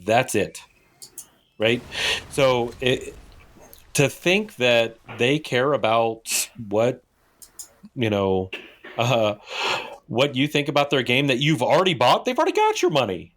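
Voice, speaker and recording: A man with a medium-pitched voice talks casually as a podcast co-host. He is opinionated and leans hard on his points, often saying them again for stress. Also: very animated, slow, pauses often.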